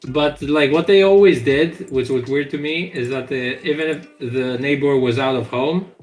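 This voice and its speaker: A man chatting on a live game dev stream, loose and rambling, often restarting his sentences. He swears offhandedly and keeps the tone relaxed. Faint music plays underneath. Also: medium pitch, moderate pace, rough recording. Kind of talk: casual chat on a live stream.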